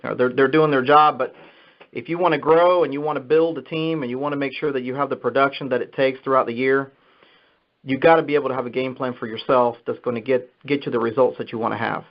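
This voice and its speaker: A middle-aged man speaks as a sales manager giving motivational business advice on a conference call, repeating and restarting phrases in a halting way. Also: mid-range voice, steady tone. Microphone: telephone conference line. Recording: dull, muffled conference phone call.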